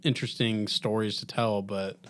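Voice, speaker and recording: A man co-hosting a podcast, chatting casually in a halting, skeptical way. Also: fairly low pitch, fairly even intonation, fairly bright tone, clean tone. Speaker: podcast co-host. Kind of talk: casual group chat.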